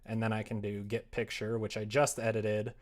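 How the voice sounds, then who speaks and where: A man chatting casually on a livestream as he works, rambling and self-deprecating, often muttering to himself, with a gravelly, creaky voice. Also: lowish voice, completely flat delivery, normal speed. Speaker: live streamer, game developer. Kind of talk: casual livestream chat while working on screen.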